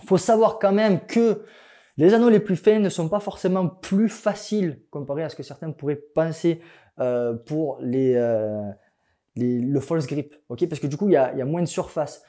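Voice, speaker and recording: A young male fitness coach talks through training gear in a relaxed vlog, joking and filling his pauses with "euh." His voice sits a little high and sounds mellow and soft. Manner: joking, chatty, laughs at himself, many fillers. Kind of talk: casual instructional vlog.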